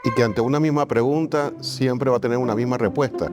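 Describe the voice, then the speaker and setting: A man's voice: mid-range voice, brisk, over loud music. A man hosting a podcast interview in a conversational style, rephrasing as he forms his questions.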